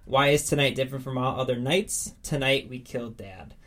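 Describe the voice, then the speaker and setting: A man's voice: mid-range voice, fairly quick, fairly bright tone. A man co-hosting a podcast in a casual, enthusiastic chat. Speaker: podcast co-host.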